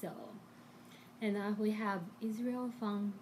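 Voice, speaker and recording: A middle-aged woman with a Chinese accent, co-hosting a casual lesson stream in halting, encouraging English as a second language. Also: mid-range voice, steady tone, darkish tone, slight background noise. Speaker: co-host and language teacher. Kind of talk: casual live chat.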